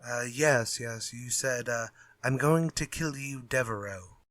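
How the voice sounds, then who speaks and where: An actor playing a glib, unafraid writer in a retro radio drama, with flippant, old-fashioned phrasing. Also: male voice, medium pitch, unhurried, fairly bright tone, no echo, close to an everyday voice. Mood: curious, eager. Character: glib, unafraid male writer sparring with his villain.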